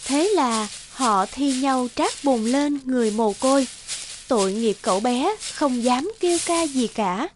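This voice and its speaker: A woman's voice: high voice, lively intonation, unhurried, background noise. A woman reading scripted narration for a children's fairy tale.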